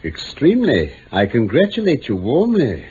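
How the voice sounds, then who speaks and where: An actor playing a keen, amused, self-assured English gentleman detective in mid-century radio drama style, urbane and precise. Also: medium pitch, huge pitch swings, normal speed. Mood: amused, triumphant. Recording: muffled, noisy old radio recording.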